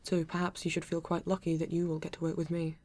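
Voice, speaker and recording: A woman's voice: lowish voice, completely flat delivery, mellow, lightly acted. A woman playing a male android police detective with a British accent, formal, precise and proud yet earnest, in an ASMR roleplay. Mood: assured, proud. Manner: formal, precise, measured, avoids contractions.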